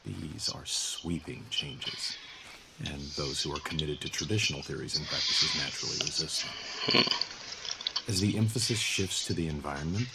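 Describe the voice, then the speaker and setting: A man with an extremely deep voice recites a scripted passage for an audio log. His delivery is formal and deliberate. Loud noise sits behind the voice. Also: gravelly. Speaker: audio log narrator in a video game. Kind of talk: recited quotation, measured scripted reading.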